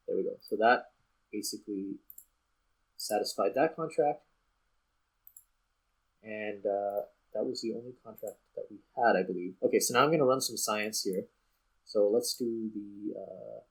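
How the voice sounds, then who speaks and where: Male gaming host, slightly low and raspy, casual play-along commentary, thinks out loud as he goes. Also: poor audio. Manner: thinks aloud step by step. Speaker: host playing a space flight game.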